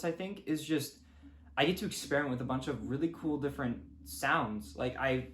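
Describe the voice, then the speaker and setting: A teenage male student talks casually, as if answering interview questions. His voice sits at a middle pitch. The room adds noticeable echo, with a faint noise underneath. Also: fairly bright tone. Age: teens. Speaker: student sound and music creator.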